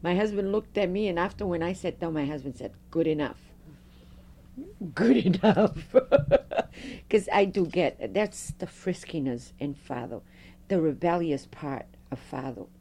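A middle-aged woman, a Fado singer, telling stories with a strong, loud voice in an interview. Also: fairly low pitch, unhurried. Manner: animated storyteller, strong loud voice. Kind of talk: oral history interview.

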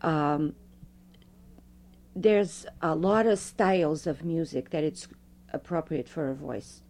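A middle-aged woman, a Fado singer, telling stories with a strong, loud voice in an interview. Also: sits low, slow. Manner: animated storyteller, strong loud voice. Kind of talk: oral history interview.

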